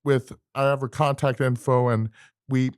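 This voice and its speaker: Earnest man with halting, restarting speech. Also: mid-range voice, level tone, clean tone, no room sound, studio quality. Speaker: podcast co-host. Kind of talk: casual podcast chat.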